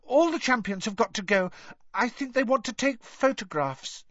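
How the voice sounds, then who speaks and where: A very high, squeaky little schoolboy from a storybook. He is eager, polite and nervous, in a dry, slightly muffled recording. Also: animated, moderate pace, a bit bright, no room sound, exaggerated voice. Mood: nervous, pleading. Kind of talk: audiobook character voice.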